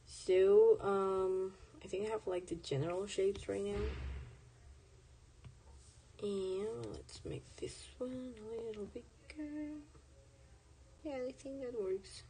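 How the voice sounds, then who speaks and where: A woman's voice: medium pitch, slow pace, pauses often, dark tone, slight background noise, low-quality recording. A young woman whose first language is Spanish, chatting casually while she draws on stream, rambling and correcting herself as she goes. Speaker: artist streaming a drawing session. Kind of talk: casual chat while drawing.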